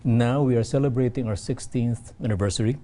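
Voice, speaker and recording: A man from the Philippines, a guest on an interview show, explaining in halting talk with many restarts. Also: mid-range voice, warm tone. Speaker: event representative guest.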